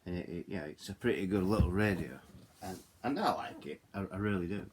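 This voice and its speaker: A middle-aged man with a low voice and a Yorkshire accent talks through a product in an informal, hands-on way. He rambles, drifting off track and circling back to repeat his points. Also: lively intonation, brisk, slight background noise. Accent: Yorkshire English. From Yorkshire, England. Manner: rambling, digressive, repeats himself. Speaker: hobbyist radio reviewer. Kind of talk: casual hands-on product walkthrough.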